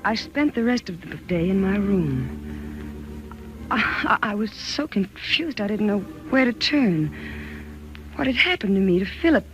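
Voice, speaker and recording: An actress playing an anxious, frightened wife in a 1940s radio thriller, polite, hesitant and pleading. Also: female voice, mid-range voice, sing-song, unhurried, dull audio, music in the background. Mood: confused, fearful. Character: anxious, frightened wife doubting her husband. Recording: noisy, crackly 1940s radio recording.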